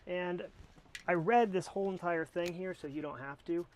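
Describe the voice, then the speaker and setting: A man's voice: high voice, moderate pace, slight background noise. An American man talking casually to camera as he reviews a product.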